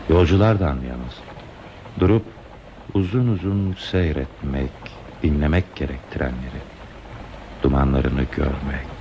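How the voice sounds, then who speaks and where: A man's voice: very deep voice, gravelly, exaggerated voice. An actor playing a lonely, talkative man who is dreamily devoted to trains, musing poetically in a radio play. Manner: musing, poetic, slow pauses in monologue. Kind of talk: radio theatre acting. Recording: dull, lo-fi radio play tape, hissy.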